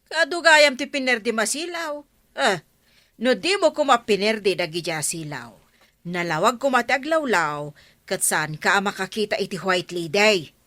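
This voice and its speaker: A woman's voice: mid-range voice, animated, moderate pace, bright, forceful tone. A woman acting a stern, insistent village woman who scolds, in an Ilocano comedy radio drama. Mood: stern scolding. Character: stern village woman demanding payment for damage.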